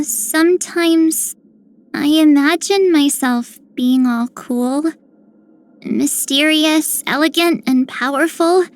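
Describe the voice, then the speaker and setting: A woman voicing an imaginative, upbeat young girl heroine in scripted video game lines. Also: sits high.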